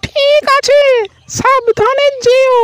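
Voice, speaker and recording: Squeaky, extremely high cartoon housewife. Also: female voice, fairly even intonation, takes it slowly, a bit bright, heavily acted. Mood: caring. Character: village housewife, nagging but caring cartoon wife. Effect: pitch-shifted chipmunk-like voice. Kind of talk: comedy cartoon dialogue.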